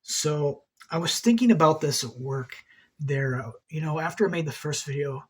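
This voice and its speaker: Husky guy ranting on his occult channel, kinda high voice, keeps cursing n repeating himself. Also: normal speed. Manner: agitated, swears often, repeats words. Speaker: occult video host. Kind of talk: rambling monologue to camera.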